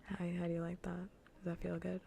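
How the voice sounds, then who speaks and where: A woman livestreamer chatting in a soft, breathy ASMR whisper, casual, teasing and blunt, close to the microphone. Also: fairly low pitch, completely flat delivery, brisk. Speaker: livestreamer playing a game. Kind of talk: whispered ASMR chat while gaming.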